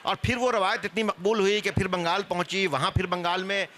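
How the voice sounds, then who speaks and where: Panelist, male. High, sharp-edged voice, scholarly explainer in live discussion. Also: fairly even intonation, fairly quick. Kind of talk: spoken panel discussion on theatre history.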